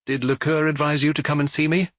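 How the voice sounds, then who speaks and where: A man voicing a terse, brooding police detective in an audiobook, measured and questioning. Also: a little high, flat delivery, no room sound, clean studio sound, pitched above the performer's own voice, close to an everyday voice. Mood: calm questioning.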